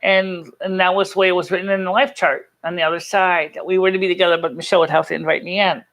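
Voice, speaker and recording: A live stream host chatting casually and telling personal stories in an earnest, halting, rambling way. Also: mid-range voice, little pitch movement, a bit bright. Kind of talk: casual chat to a live chat audience, personal storytelling.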